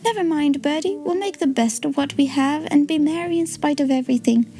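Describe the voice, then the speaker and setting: A woman reading a storybook aloud, voicing a kind, cheerful poor little girl in a gentle, raised voice. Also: sits high, a little fast, pitched above the performer's own voice. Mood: earnest.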